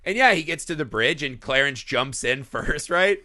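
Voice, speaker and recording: A middle-aged man co-hosting a casual film podcast, swearing freely and acting out scenes in quick voices. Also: mid-range voice, no room sound. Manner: profane, animated, acts out scenes in voices. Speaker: podcast co-host.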